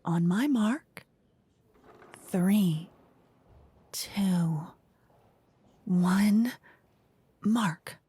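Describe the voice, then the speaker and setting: A woman voicing a flippant, gleeful queen in a soft-spoken fantasy ASMR roleplay, playful and condescending in a theatrical way. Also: mid-range voice, extremely slow, pauses often, breathy edge, no echo, heavily acted. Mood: playful, commanding. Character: gleeful, flippant queen presiding over her fantasy court.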